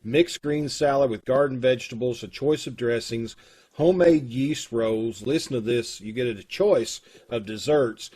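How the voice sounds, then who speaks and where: A man's voice: mid-range voice, moderate pace, dark tone, breathy edge. A man with a Kentucky accent, leading a theater troupe and making casual, rambling announcements over a video call. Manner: folksy, rambling, with fillers.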